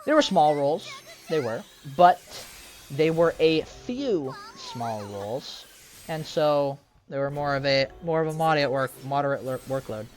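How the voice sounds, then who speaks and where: A young woman with a very deep voice rambles casually over gameplay, hedging and restarting as she talks. Her pitch swings widely from low mutters up to sudden high bursts, while soft music plays behind her. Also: lively intonation, unhurried. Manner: rambling, self-deprecating, with frequent fillers and restarts. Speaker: gaming streamer.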